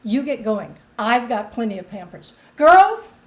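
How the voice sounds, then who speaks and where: A woman's voice: on the high side, sing-song, unhurried. A woman acting a blunt, doting older mother and grandmother at a screenplay table read, folksy and bossy. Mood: brisk, capable.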